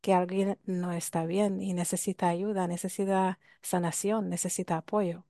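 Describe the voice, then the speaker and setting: A woman's voice: lowish voice, level tone, normal speed, dark tone, dry recording, studio quality. A woman with a Hungarian accent, explaining ideas in a reflective way and posing rhetorical questions to listeners. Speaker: workshop speaker. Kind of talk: talk on ancestral healing.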